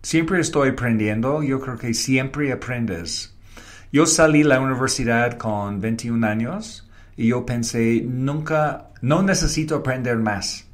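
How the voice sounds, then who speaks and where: A man's voice: mid-range voice, a little slow. British-accented man, non-native Spanish, reflective guest, mid-range voice. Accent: British English. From Wales, Britain. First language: English. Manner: non-native Spanish, short declarative sentences, slips into English words. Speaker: guest, advertising creative and professor. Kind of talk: reflective interview conversation.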